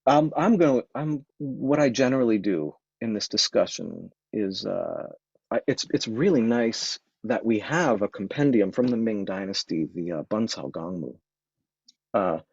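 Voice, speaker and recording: A lower-voiced man with a husky tone lectures on Taoist medicine into a microphone while fighting a cold. He speaks reflectively, stopping to restart his sentences and filling pauses with ums. The sound is dull, dry and lo-fi. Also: a little mellow, dry recording. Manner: thoughtful, restarts and many ums. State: has a cold, sniffling. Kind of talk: informal lecture.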